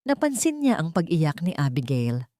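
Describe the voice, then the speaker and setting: A woman's voice: low voice, very animated, normal speed, dark tone, no room sound, clean studio sound. A woman reading a scripted dramatic story as an audiobook narrator.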